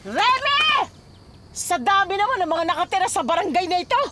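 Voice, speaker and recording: Shrill, furious Filipino village mother. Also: big pitch swings, fairly quick, bright, forceful tone, full character voice. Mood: indignant. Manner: scolding, threatening. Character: furious, combative, protective middle-aged village mother. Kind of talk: melodramatic Filipino radio drama acting.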